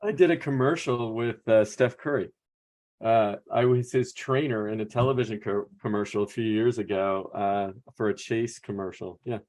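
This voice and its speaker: A man's voice: lowish voice, moderate pace, darkish tone. An American man telling anecdotes casually as a podcast co-host and coach.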